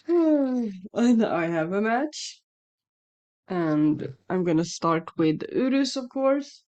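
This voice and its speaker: A woman's voice: medium pitch, expressive, extremely slow, darkish tone, lo-fi sound. A woman with a Swedish accent, native Swedish speaker, chatting casually at home in a morning vlog, rambling and correcting herself, slightly sniffly as if coming down with a cold. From Sweden. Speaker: shamanism vlogger. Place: home indoors, dry room. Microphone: headphones with mic.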